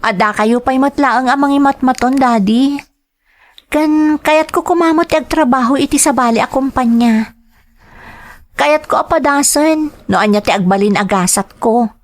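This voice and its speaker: A woman's voice: sits high, normal speed, lightly acted. Woman playing an eager young female graduate. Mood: eager, determined. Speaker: voice actor in audio drama. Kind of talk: dramatized family radio-style drama.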